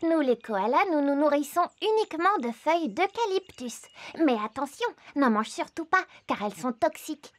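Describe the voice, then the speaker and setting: Sleepy gentle cartoon koala. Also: female voice, high-pitched, expressive, moderate pace, few pauses. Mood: explaining. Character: Olivia, sleepy gentle female koala friend. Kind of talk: children's cartoon dialogue.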